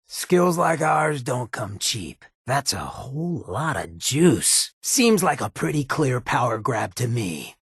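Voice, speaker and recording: An actor playing a roguish, irreverent ghoul in a video game, with a raspy American voice and a wry, cocky, streetwise drawl. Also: male voice, medium pitch, fairly bright tone, no echo, studio quality, heavily acted. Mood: blunt, sardonic. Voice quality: raspy, gravelly. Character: roguish drug-loving irradiated ghoul, swaggering, irreverent, loyal. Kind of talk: video game companion dialogue.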